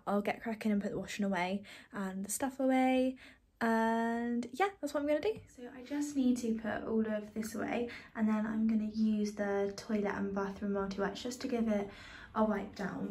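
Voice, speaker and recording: A woman in her twenties chatting casually through her evening routine at home, rambling with lots of ums and quick asides. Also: mid-range voice, a little mellow, slightly rough. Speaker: vlogger. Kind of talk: casual chat. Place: small flat, indoors, lounge, kitchen and bathroom. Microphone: phone held at arm's length.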